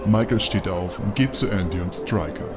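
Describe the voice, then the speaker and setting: A man's voice: on the low side, moderate pace, over a noisy crowd, close to an everyday voice. A man narrating a scripted audio drama as its storyteller, in scene-setting prose. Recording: voice over loud background music.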